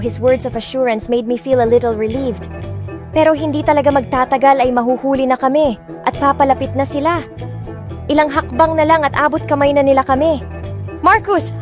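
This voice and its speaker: A young woman narrating a first-person romance audiobook, expressive and switching between two languages. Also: high voice, brisk, over music.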